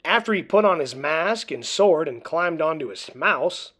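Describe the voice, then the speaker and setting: Casting: female children's story reader, slow and expressive, bright voice, animated pitch. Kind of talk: children's read-aloud narration.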